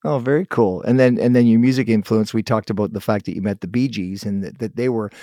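A man's voice: on the low side, fairly even intonation, fast talker, warm tone, dry recording, clean studio sound. A man hosting an interview podcast in a friendly, chatty way. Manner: friendly, chatty, many restarts and fillers. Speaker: podcast host. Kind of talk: casual interview chat.

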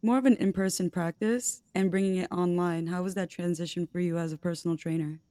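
A woman's voice: on the low side, fairly even intonation, a little fast, warm tone, dry recording, clean studio sound. A woman hosting a podcast, introducing her guest and asking questions in an upbeat, encouraging way. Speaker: podcast host.

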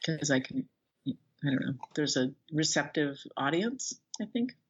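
A woman's voice: deep voice, expressive, unhurried, husky, highs cut off. A middle-aged woman speaking casually as an author being interviewed, rambling and restarting her sentences as she goes.

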